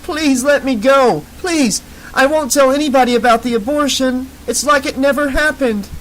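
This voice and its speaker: A man voicing a drunk, dazed young woman who slurs and sobs in a high, whiny voice. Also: sing-song, takes it slowly, a bit bright, a little hiss or hum, rough recording. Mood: terrified. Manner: slurred, sobbing, high squeals.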